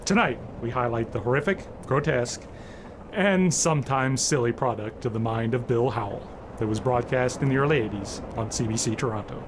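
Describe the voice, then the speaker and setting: A man's voice: mid-range voice, a little fast. Man narrating over noticeable noise. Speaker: host introducing a classic radio drama. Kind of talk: scripted narration, horror anthology introduction.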